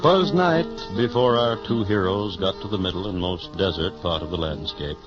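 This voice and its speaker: A man's voice: low-pitched, normal speed, over music. A man narrating a 1950s radio drama in a scripted, dramatic storytelling style. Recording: muffled old radio recording.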